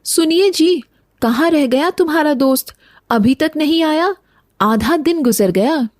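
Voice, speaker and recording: A woman's voice: high-pitched, lively intonation, measured pace, a little mellow, no room sound, clean studio sound. A woman playing a dutiful, fretful wife of a village woodcutter in a cartoon story, polite and homely. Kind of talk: Hindi cartoon story dialogue.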